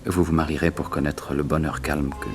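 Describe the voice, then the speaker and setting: A middle-aged actor playing a suave, romantic gentleman thief with tender, courtly, theatrical phrasing in a 1960s French radio serial. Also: very deep voice, steady tone, brisk, faint music behind. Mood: tender, wistful.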